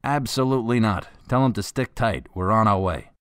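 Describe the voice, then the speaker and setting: An actor playing a practical, wearily doubtful police officer in a radio drama, with a casual, blunt, wry delivery. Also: male voice, lowish voice, animated, fairly quick, smooth, no echo, studio quality, lightly acted. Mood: businesslike.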